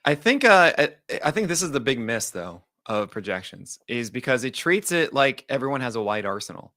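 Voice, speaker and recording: Male podcast host, bright and forceful tone, casual analytic banter, dry close mic. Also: medium pitch, sing-song, dry recording. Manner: jokey, quick asides, trails off and restarts. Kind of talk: casual baseball analysis chat.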